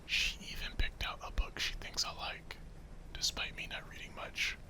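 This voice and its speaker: A man narrating a visual novel in a whispered ASMR style. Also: moderate pace.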